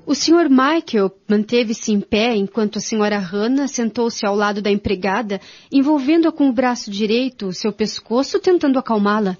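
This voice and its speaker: Husky, higher-voiced Brazilian woman narrator. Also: normal speed, few pauses, darkish tone, soft background music. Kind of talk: scripted radio drama narration. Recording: dull lo-fi radio recording.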